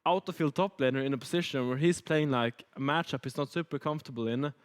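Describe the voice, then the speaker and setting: A man's voice: medium pitch, fast, smooth, in a large hall. A man working as an esports analyst, talking loosely and rambling, with lots of filler words. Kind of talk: analysis desk chat.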